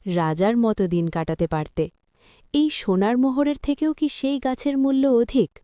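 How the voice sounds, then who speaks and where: Cartoon fairy played by a woman: gentle, coaxing, crystal-clear tone, close dry sound. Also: on the high side, animated, moderate pace, dry recording, lightly acted. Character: kindly magical cave fairy, female, testing with treasure. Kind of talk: scripted fairy-tale cartoon dialogue.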